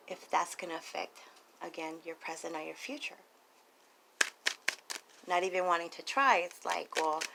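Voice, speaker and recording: A woman giving a casual, improvised tarot reading in a conversational way, with some gravelly fry in her voice. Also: on the low side, fairly bright tone, rough recording. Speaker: tarot reader, channel host. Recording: audible background noise.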